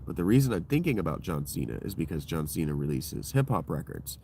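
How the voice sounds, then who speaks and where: A man with a deep voice hosting his own livestream, talking in a loose, digressive and profane monologue that keeps circling back on itself. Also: very animated, quick pace, mellow, slight background noise. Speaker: livestream host. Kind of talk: rambling solo livestream monologue.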